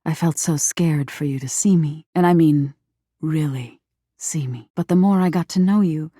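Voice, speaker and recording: A woman playing a living nightmare spirit in a video game, seductive and eerie, with a breathy, intimate delivery and a wounded, menacing edge. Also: moderate pace, dark tone, dry recording, studio quality. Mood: tender, shy. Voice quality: breathy, husky, low. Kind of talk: video game character acting.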